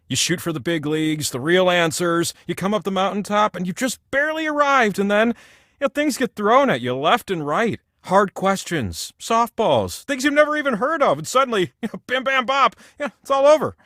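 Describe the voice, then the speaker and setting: A man's voice: sits high, animated, moderate pace, a bit bright, dry recording, clean studio sound. A man voicing a giant, ancient talking wheel in a video game, a jovial, grandiose and needy godlike host with a chatty, theatrical delivery. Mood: wistful, philosophical. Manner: chatty, theatrical, swings between gushing and mumbled asides. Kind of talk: comic video game character monologue.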